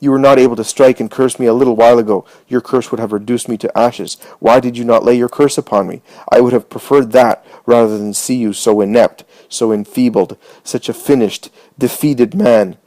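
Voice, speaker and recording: A man reading aloud voices a furious, scornful male traitor, hoarse and panting as he shouts accusations. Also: medium pitch, fairly even intonation, fairly quick, few pauses, no room sound, close to an everyday voice, rough recording.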